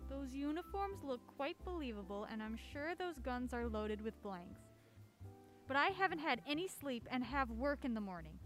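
A woman acting an anxious, sharp-tongued young working woman named Alma in a theatrical old-time radio-style drama, with snappy, dry retorts. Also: high voice, very animated, normal speed, soft background music. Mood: dismissive.

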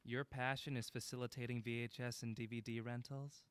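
A man's voice: medium pitch, little pitch movement, brisk, clean tone, no room sound, natural voice. An actor playing a playful male film buff who teases his friend in a naturalistic audio drama. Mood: teasing. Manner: playful, needling banter. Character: teasing, upbeat male film buff, sound-business friend.

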